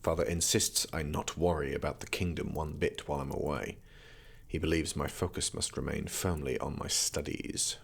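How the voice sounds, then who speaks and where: A haughty, gravelly young prince. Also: male voice, extremely low pitch, fairly even intonation, full character voice. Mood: earnest, formal. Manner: formal, measured, haughty. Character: condescending, formal young prince studying magic. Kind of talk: audio drama acting.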